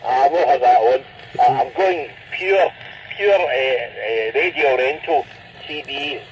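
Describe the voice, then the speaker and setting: A man's voice: mid-range voice, measured pace. A Scottish man chatting casually as an operator over a CB radio link. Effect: CB radio transmission. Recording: dull, noisy CB radio link.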